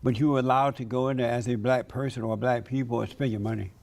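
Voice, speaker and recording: A man's voice: mid-range voice, fairly even intonation, fast talker, vocal fry, darkish tone. A man hosting a debate, pressing his guest with blunt, repeated questions. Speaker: debate host.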